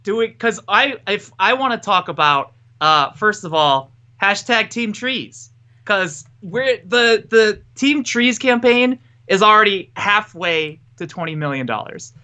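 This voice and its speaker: A man's voice: high voice, animated, measured pace, pushed and bright. A man co-hosting a podcast in casual chat, joking and rambling, often cutting himself off, wearing headphones. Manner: joking, rambling, self-interrupting. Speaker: podcast co-host. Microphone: headphones with mic.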